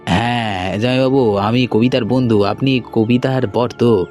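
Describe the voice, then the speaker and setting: A man's voice: medium pitch, steady tone, a little slow, darkish tone, lightly acted. A man playing a friendly young businessman in a cartoon, talking in a casual, conversational way. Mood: polite, friendly. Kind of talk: cartoon dialogue acting. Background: background music.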